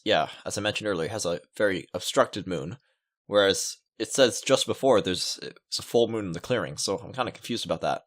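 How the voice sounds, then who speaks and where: A man's voice: low voice, fairly bright tone. A man hosting a let's play, reading a visual novel aloud and adding casual commentary, with music underneath.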